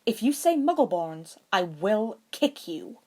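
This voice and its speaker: A woman voicing a witty schoolgirl witch with a sharp, sarcastic tongue, in a storybook reading. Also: medium pitch, big pitch swings, measured pace, voice pushed up. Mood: venomous. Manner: sarcastic, biting.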